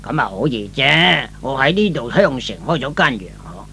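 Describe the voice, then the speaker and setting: A man's voice: medium pitch, big pitch swings, moderate pace, gravelly, sharp tone, voice pushed down, full character voice. A man playing a kindly, grateful older father, a well-off merchant, courteous and theatrical in a radio drama. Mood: hospitable, reassuring. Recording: faintly noisy old radio recording.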